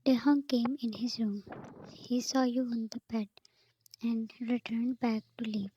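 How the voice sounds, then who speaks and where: A woman narrating a story in a steady, soft unvoiced whisper. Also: a little high, a little slow, highs cut off, a little hiss or hum. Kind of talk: whispered fan fiction reading.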